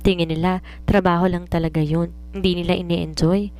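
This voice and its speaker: Woman doing a confident writer lady in an audiobook, lowish voice, preachy n earnest advice. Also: little pitch movement, brisk, a little mellow, smooth, natural voice. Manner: earnest, instructive, self-assured. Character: confident, preachy woman romance writer advising her maid. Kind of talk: audiobook character dialogue.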